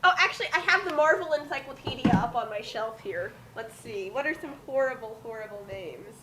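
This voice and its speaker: A woman with a high voice talks in casual, excitable banter. She breaks into exclamations easily, and there is faint background noise on her home recording. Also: a little fast, rough recording. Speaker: commentator in a dramatic fanfic reading.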